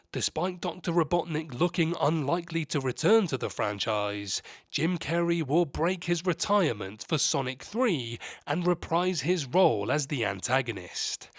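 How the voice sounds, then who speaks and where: A man's voice: fairly high pitch, no room sound. Man narrating scripted movie news, dry close sound. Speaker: host of a movie news channel.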